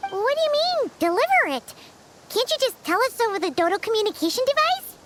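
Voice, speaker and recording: A woman voicing a small, childlike, excitable companion creature in a video game, squeaky and naive. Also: a little fast, exaggerated voice. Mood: puzzled.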